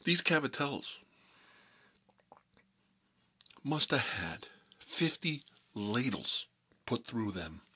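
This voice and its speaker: A man acts out an exasperated mob boss spinning comic stories. The voice is husky and swings widely in pitch. He rambles in street slang, doubles his negatives and repeats himself for emphasis. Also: sing-song, takes it slowly, sharp tone, exaggerated voice. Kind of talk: rambling comedic storytelling in character, between whispers and outbursts.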